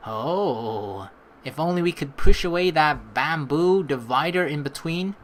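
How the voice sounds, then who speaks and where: Lively low-voiced woman gamer. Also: measured pace, a little hiss or hum. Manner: casual, expressive, joking asides. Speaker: let's play host. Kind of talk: reading game narration aloud with casual commentary. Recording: soft game music behind. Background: game music.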